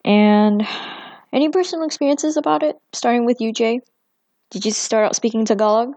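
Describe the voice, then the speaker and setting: Young woman host, gravelly edge, dry mic, loose easygoing delivery. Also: a little high, normal speed, no echo. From Vietnamese American family. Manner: relaxed, rambling with many fillers. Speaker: podcast host. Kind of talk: casual podcast chat.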